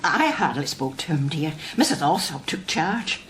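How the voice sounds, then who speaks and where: A woman's voice: low voice, very animated, moderate pace, a bit bright, a little hiss or hum. An actress playing a chatty, solicitous older mother in a radio drama, with a homely, gossipy manner and a Scottish accent. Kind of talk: naturalistic radio drama acting.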